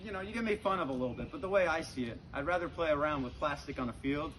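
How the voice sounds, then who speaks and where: A young man telling stand-up comedy stories to an audience in a conversational, halting way, with pauses. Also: on the high side, crowd noise. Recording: very noisy live comedy recording with clicks. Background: audience laughter.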